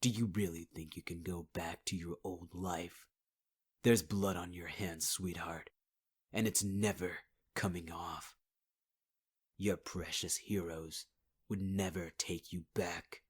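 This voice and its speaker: A man's voice: deep voice, steady tone, slow, husky. A man playing a whispering, menacing villain. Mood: angry. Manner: taunting, cold, calling the listener sweetheart; half-whispered delivery. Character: menacing male villain, possessive and cruel. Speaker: audio roleplay performer. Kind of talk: ASMR audio roleplay.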